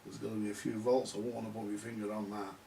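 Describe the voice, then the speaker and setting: A man giving halting, unscripted commentary at a workbench, muttering to himself as he works things out. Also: fairly low pitch, little pitch movement, vocal fry, mellow. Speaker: vintage radio repair hobbyist. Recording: distant mic with background hiss.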